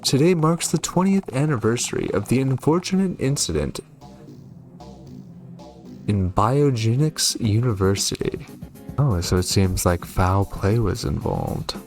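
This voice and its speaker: A man's voice: mid-range voice, sing-song, measured pace, a little mellow, soft background music. A man hosting a game playthrough, reading on-screen text aloud and adding short reactions of his own. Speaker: gaming video host.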